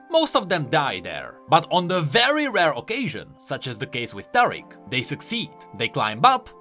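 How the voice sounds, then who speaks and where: A man's voice: a little high, pushed and bright. A man giving enthusiastic, emphatic explanatory commentary on video game lore.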